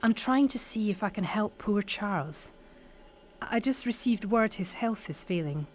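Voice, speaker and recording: A woman's voice: medium pitch, animated, measured pace, a little mellow, natural voice. An actress playing an earnest, caring woman in a video game cutscene, speaking sincerely and solemnly with grave concern. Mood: worried, solemn.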